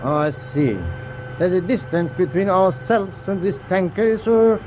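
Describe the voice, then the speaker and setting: An actor playing a stern, proud and formal German submarine captain in a wartime radio drama. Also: male voice, sits high, measured pace, darkish tone. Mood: brisk, resolute. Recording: clear vessel noise behind voice.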